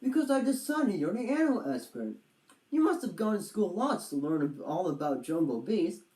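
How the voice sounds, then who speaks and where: A woman's voice: on the low side, very animated, normal speed, mellow, reverberant, recorded from a distance, voice pushed up. A woman voicing a confident, chummy male storybook turtle with theatrical, old-fashioned explorer pomp. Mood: curious, chummy.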